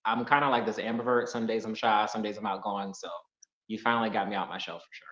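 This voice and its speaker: Polite guy on a video call, lowish voice, kinda bright, room echoey and audio dull. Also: fairly even intonation, fast. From Pittsburgh. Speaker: caller on a dating show. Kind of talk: casual video-call chat.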